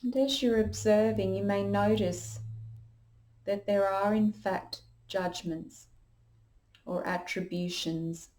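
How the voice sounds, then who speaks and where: A woman leading a guided meditation as a yoga teacher, calm and unhurried, giving gentle instructions. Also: medium pitch, low-quality recording.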